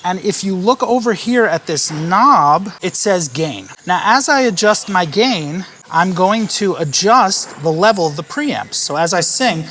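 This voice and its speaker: Calm man teaching sound gain setup. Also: sits high, a little slow, few pauses, a little hiss or hum. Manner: calm, explanatory, stepping through each setting. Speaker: sound engineer and band leader teaching live sound. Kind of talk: hands-on tutorial with sung demonstrations. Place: indoor music venue. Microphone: handheld vocal microphone.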